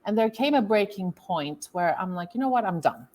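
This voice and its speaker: A woman's voice: medium pitch, expressive, moderate pace, darkish tone. A woman from Turkey in her thirties, a guest coach telling her life story in a loose, chatty way with many fillers. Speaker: guest, author and transformational coach. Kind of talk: casual interview chat.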